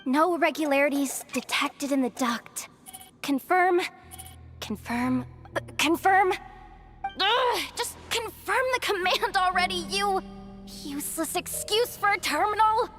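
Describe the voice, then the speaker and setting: Game role: plucky, frazzled young woman technician, high bright voice, determined, hesitant then bursting out. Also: lively intonation, a little slow, faint music behind, exaggerated voice. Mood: exasperated. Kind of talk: video game voice acting.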